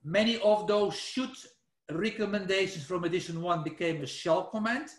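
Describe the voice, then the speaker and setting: A man's voice: high voice, moderate pace, roomy sound. Flemish-accented man giving a technical webinar. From Belgium. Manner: informal and explanatory, with self-corrections and "let's say" fillers. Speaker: webinar presenter. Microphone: screen-shared webinar, speaker not seen.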